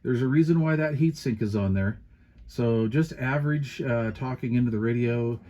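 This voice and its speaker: A man's voice: mid-range voice, moderate pace, warm tone. Casual, folksy man reviewing gear. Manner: folksy, plain-spoken with colloquial turns. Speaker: product reviewer. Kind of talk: casual hands-on review, unscripted.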